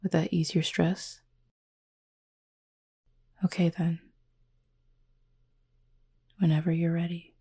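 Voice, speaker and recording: A horned cupid roommate, acted by a woman, speaks softly and intimately in a low, husky voice with a mellow tone. The delivery is calm and measured, with polite, formal wording and a tender, teasing warmth made for roleplay audio. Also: heavily acted. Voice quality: soft, breathy, husky.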